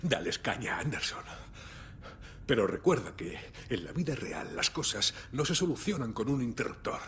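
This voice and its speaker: A man's voice: very animated, moderate pace, sharp tone. An actor playing a wry, world-weary, blunt and stoic lawman in dramatic video game dialogue. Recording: faint background rumble and noise.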